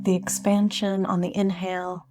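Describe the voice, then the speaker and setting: A woman guiding a meditation, speaking gently and unhurriedly with long pauses between phrases. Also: medium pitch, flat delivery. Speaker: meditation guide. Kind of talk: guided meditation. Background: meditative singing bowl and sine-tone music.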